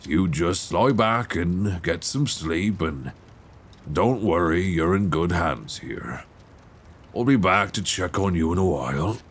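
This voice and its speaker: A man playing a gentle but probing, folksy 19th-century lighthouse keeper in an intimate ASMR suspense roleplay, soft-spoken, halting and reassuring, close on a binaural mic. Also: animated, full character voice. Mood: soothing. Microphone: close binaural microphone. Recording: faint low rumbling background noise.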